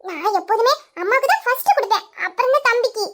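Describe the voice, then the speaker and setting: A woman's voice: very high voice, lively intonation, fast talker, a bit bright. A woman voicing a sweet, affectionate little daughter with an eager childlike manner in an audio drama. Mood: playful, affectionate. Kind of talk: melodramatic Tamil audio drama.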